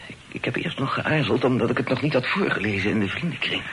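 An actor in a period radio play, voicing a humble, talkative old poet who is effusive, hesitant and deferential. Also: male voice, mid-range voice, lively intonation, fast, a bit bright, natural voice. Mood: timid, grateful. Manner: effusive, hesitant, sighing, repeats himself. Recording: noisy old radio drama recording.

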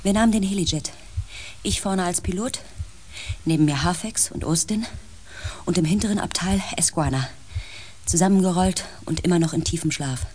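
A woman's voice: lowish voice, moderate pace, pauses often, a little mellow, slightly rough. An actress playing a female space pilot, grave and intense, in a science fiction radio play. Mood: tense, haunted. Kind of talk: radio play acting, dramatic first-person narration.

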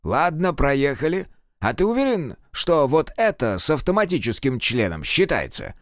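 A man's voice: fairly high pitch, huge pitch swings, moderate pace, no room sound, clean studio sound. Guy doing a crude bossy old grandad for a comic audiobook, pushy and scheming, swings up and down. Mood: sheepish, wheedling. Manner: crude, commanding, wheedling.

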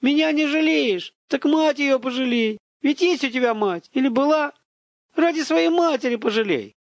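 A desperate father acted out by a male reader in an audiobook story. His voice climbs high as he begs for news of his daughter, flustered and close to tears. The sound is dry. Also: expressive, slow, darkish tone, dry recording, exaggerated voice. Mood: distraught. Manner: imploring, flustered, near tears.

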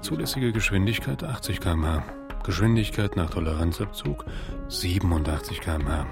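A bureaucrat with a deep, gravelly voice. He recites penalty notices in impersonal officialese, as in a radio play. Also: lively intonation, brisk, music in the background. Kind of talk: radio drama acting.

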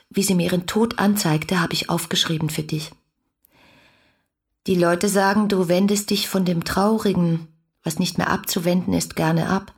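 A woman's voice: fairly low pitch, creaky, slightly rough, exaggerated voice. An actress playing a passionate, devoted young woman speaking her letters in a radio drama, intimate and earnest, full of grief and tenderness.

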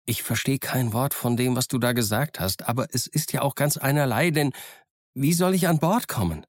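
A man's voice: medium pitch, moderate pace, no room sound, studio quality, voice pushed up, natural voice. A man voicing an earnest young lad in a storybook reading. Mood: puzzled.

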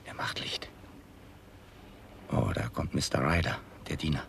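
An actor playing an unflappable, intuitive police detective in a 1960s German crime radio play, gravelly, dry and deliberate. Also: male voice, rumbling low, fairly bright tone, whispered, heavily acted. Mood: hushed, tense. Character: Mr. Nutting, unflappable, intuitive Scotland Yard detective.

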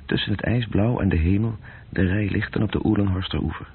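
A man narrating a literary radio drama in a measured, descriptive way, with a deep, gravelly voice. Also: full character voice. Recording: muffled narrow-band radio play recording.

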